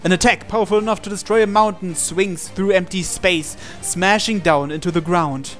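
A man reading a visual novel aloud as a dramatic narration, with deliberate pauses. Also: high-pitched, a bit bright. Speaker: gaming channel host. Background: game music.

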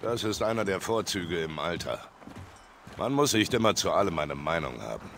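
An actor playing an older, gruff, dry-witted veteran soldier and father in a dubbed video game, terse and commanding. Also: low voice, very animated, moderate pace, a bit bright, a little hiss or hum, full character voice. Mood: dry, calm. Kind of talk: German video game dubbing.

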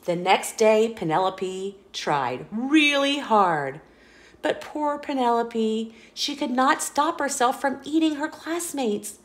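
A woman reading a children's picture book aloud in a playful, expressive storytelling voice, indoors. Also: on the high side, slow. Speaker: storybook reader. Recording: roomy echo.

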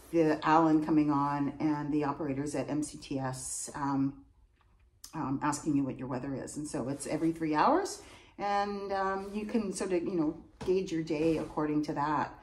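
A woman's voice: low-pitched, low-quality recording. A middle-aged woman, a lighthouse keeper, chatting casually and rambling a bit to a phone camera at her kitchen table. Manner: chatty, rambling, self-interrupting. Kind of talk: casual vlog chat to camera. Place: home kitchen indoors.

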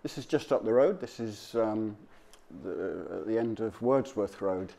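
A man speaking as a local history presenter, giving an informal slide talk to an audience in a halting, conversational, off-the-cuff way. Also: on the low side, creaky, mellow.

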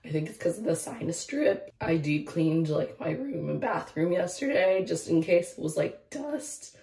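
Young woman, twenties, hoarse from losing her voice; casual rambling vlogger, slightly low pitch, camera held at arm's length. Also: very animated, normal speed, mellow, echoey room, far from the mic. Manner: rambling, lots of "like" and "I don't know". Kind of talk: casual vlog chat. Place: car, bedroom and outdoor park. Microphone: phone or camera held at arm's length.

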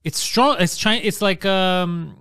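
A man's voice: high voice, slow, clean tone, no echo. A man in his forties co-hosting a podcast, chatting and teasing in a small studio on a studio mic. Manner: animated, teasing, swears freely. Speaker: podcast co-host. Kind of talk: casual comedic banter. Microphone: studio mic on boom, headphones.

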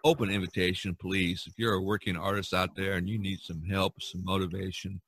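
A man hosting a casual podcast over a video call, talking in a rambling, animated way. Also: deep voice, brisk, husky, no room sound. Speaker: podcast host. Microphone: video call microphone.

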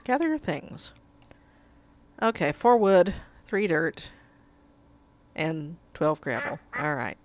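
A woman with a cold gives slow, unhurried commentary on her game in a lowish voice. She reads the on-screen text aloud and swears when things go wrong. A faint hiss sits under the recording. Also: very animated. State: has a cold, sucking a cough drop. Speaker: let's play gamer. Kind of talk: casual gameplay commentary.

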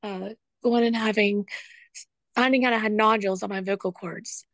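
A woman caller with a raspy voice, talking candidly with a show host. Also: medium pitch, fairly even intonation, normal speed, fairly bright tone, no room sound.

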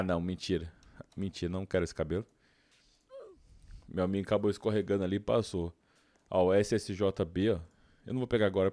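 Deep-voiced Brazilian man, casual gamer. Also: dark tone, dry recording. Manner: informal, slangy, thinking aloud. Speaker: gaming YouTuber. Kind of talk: casual gameplay commentary.

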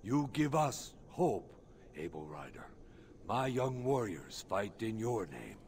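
A man's voice: fairly low pitch, very animated, slow pace, a little mellow, slight background noise, exaggerated voice. A man playing a solemn alien clan leader in a video game, speaking in formal, stately phrases. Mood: solemn, grateful. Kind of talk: video game cutscene acting.

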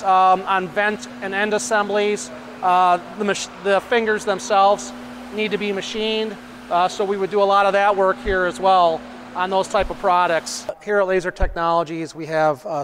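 A man's voice: high voice, fairly bright tone, slight background noise. A middle-aged man explaining processes on a factory tour, matter-of-fact, with frequent ums and uhs, recorded on a lapel mic in a large machine shop. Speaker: company manager giving a shop tour.

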